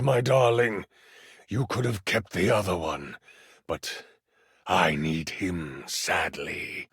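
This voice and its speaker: Fantasy game villain: monstrous wrathful king, slow and menacing, heavy gravel in the throat. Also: fairly low pitch, a bit bright, no echo, clean studio sound, voice pushed down, exaggerated voice. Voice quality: gravelly, husky. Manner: slow, menacing, drawn-out delivery. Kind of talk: dramatic fantasy game acting.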